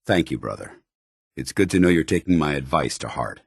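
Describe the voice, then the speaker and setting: An actor playing a stern, loyal, zealous soldier in a video game, speaking gruffly with earnest, clipped military formality. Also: male voice, rumbling low, expressive, brisk, no echo, studio quality, heavily acted. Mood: approving, formal. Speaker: companion soldier character.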